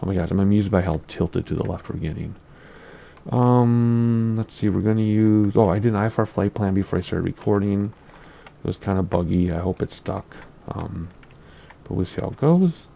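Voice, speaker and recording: A man's voice: lowish voice, normal speed, creaky, warm tone. A man hosting a flight simulator gameplay video in casual commentary, his voice scratchy from allergies, rambling and easily distracted and laughing at his own slips. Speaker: flight sim gameplay host.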